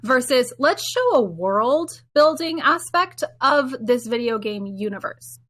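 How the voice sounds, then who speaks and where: A woman with a slightly high voice talks as a panelist on a casual podcast. She explains her points step by step, rephrasing and correcting herself along the way. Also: sing-song, a little slow, a bit bright.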